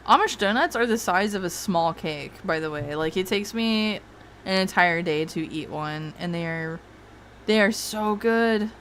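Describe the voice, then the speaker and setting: A woman's voice: mid-range voice, expressive, unhurried, a bit bright, slight background noise. A woman hosting a casual livestream, rambling and swearing freely, with frequent laughs. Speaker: streamer host.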